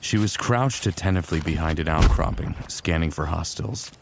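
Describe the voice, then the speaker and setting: Gravelly, very deep wry warrior played by a man. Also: brisk, background noise. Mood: wry, smitten. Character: smitten, teasing male Titan warrior narrating his exploits. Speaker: narrator and lead character. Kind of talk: first-person narration in fan fiction audio drama.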